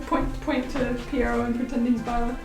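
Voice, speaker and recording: A woman's voice: a little high, flat delivery, normal speed. A woman in playful, casual banter. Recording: roomy echo, loud background music.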